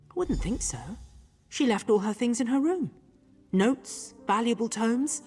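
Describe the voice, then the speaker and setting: Reverberant hall voice, obliging academy housekeeper, chatty, scatterbrained, animated higher pitch, video game dialogue. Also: female voice, unhurried, slightly rough. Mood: puzzled, helpful. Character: helpful, slightly scatterbrained female guesthouse keeper at an academy. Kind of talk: video game character acting. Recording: long hall reverb, light music.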